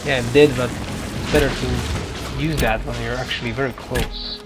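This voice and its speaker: A man giving casual video game commentary in a halting way, often correcting himself. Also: mid-range voice, fairly even intonation. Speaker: gameplay commentator. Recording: game music behind the voice. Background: video game music.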